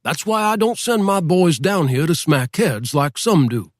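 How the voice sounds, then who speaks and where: Pushy small-town sheriff, raised nagging pitch, dry read; male narrator doing the voice. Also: big pitch swings, a little slow, no room sound. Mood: pressing. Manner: insistent, repeats himself, pitch pushed up. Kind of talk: audiobook character voice.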